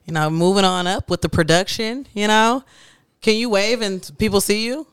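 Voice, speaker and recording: A woman's voice: mid-range voice, moderate pace, clean tone, no room sound. A woman in her thirties hosting a podcast, chatting casually with slang, laughter and playful energy. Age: 30s. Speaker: podcast host. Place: podcast studio with camera setup.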